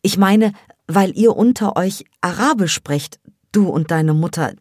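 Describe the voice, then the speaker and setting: A shy, unsure teenage girl in a storybook who keeps apologizing and backing down. A woman reads her a little above her own low voice, in a dry, close recording. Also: a little slow, darkish tone, breathy edge, dry recording. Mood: timid. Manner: hesitant, apologetic.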